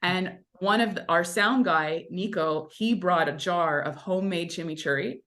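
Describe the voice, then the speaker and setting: Woman guest, native Italian speaker, lowish voice, chatty storyteller. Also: normal speed. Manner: chatty, animated storytelling with many "like" fillers. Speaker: actor, interview guest. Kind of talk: casual interview chat.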